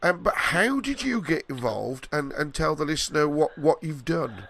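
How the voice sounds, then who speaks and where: A man's voice: on the high side, lively intonation, moderate pace, creaky, a bit bright. Stuffy-nosed male podcast host. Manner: chatty, joking, lots of ums and restarts. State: congested from a cold. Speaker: podcast host interviewing an author. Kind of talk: casual interview.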